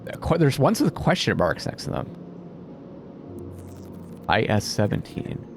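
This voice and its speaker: Animated man commentating on a game. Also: lowish voice, measured pace, noisy background. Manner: thinks aloud, exclamatory. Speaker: let's play host. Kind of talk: gameplay commentary, reading on-screen text aloud. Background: atmospheric game music.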